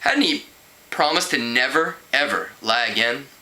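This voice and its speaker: A man reading a storybook aloud as an amateur narrator, expressive and mock-dramatic. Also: mid-range voice, moderate pace, rough recording. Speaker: amateur book reader.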